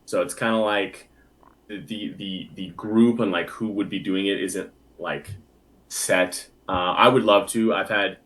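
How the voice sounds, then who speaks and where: A young man and bass singer, a guest chatting casually in an interview, easygoing and full of filler words. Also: fairly even intonation, unhurried, fairly bright tone, rough recording. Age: young adult.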